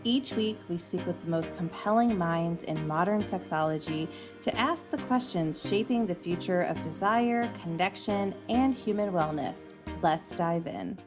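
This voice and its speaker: A woman hosting a podcast, reading a clear scripted introduction and asking interview questions. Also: lowish voice, measured pace, music in the background. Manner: clear, even presenter delivery with short listening responses. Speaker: podcast host.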